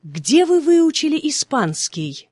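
A speaker reading short phrasebook sentences slowly and clearly for language learners. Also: sits high, sing-song, darkish tone, no room sound, clean studio sound. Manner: slow, clearly spaced repetitions. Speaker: phrasebook reader.

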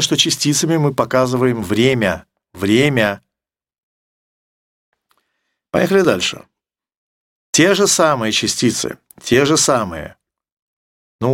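A man's voice: medium pitch, dry recording, clean studio sound. Russian-accented man teaching English grammar. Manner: emphatic, repeats key words, slows down for English examples. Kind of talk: explaining grammar to viewers.

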